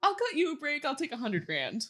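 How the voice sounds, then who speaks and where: Woman bantering on a podcast, bright voice, swoopy pitch, takes her time. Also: wildly swooping pitch, brisk. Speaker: reader of stage directions. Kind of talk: casual joking banter.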